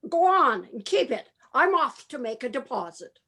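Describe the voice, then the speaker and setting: A woman playing a scatterbrained, excitable older man in a radio play, theatrical and exclaiming. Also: high voice, moderate pace, exaggerated voice. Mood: cheerfully gloating. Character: scatterbrained, excitable older Uncle Billy, male bank depositor. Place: home, over video call.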